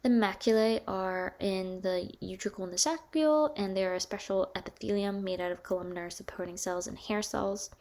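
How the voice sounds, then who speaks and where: Woman, higher voice, lecture talk, lots of ums and restarts, casual teaching. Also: moderate pace, hardly any pauses, a little mellow. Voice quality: higher-pitched voice. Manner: casual, many fillers and restarts. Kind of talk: recorded lecture on hearing and balance.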